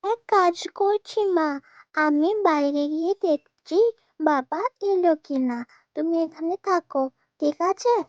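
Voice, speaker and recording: Very high-pitched little girl. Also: unhurried, no echo, muffled recording. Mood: helpful. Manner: childlike, wheedling. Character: eager, pleading little village girl, food-loving daughter. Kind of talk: cartoon voice acting.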